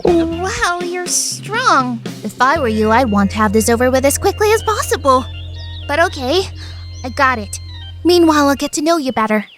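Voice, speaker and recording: A woman voicing a friendly, considerate high school senior girl in an anime fandub, with a thoughtful, gentle manner. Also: very animated, normal speed, soft background music. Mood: impressed. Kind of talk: anime fandub radio play.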